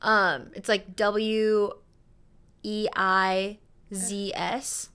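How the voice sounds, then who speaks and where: A young woman from the American Midwest co-hosting a chatty podcast. Her voice is smooth and clear at a middle pitch, and she rambles through stories with plenty of "like" and "um.". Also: level tone, very slow, bright, forceful tone. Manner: chatty, filler-heavy, storytelling. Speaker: podcast co-host. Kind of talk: casual chat.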